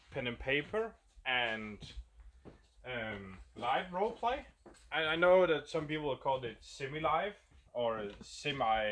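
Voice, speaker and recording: A man's voice: a little high, fairly bright tone, slight background noise. A man with a Danish accent, a game designer casually explaining a new roleplay setup while thinking aloud and searching for words.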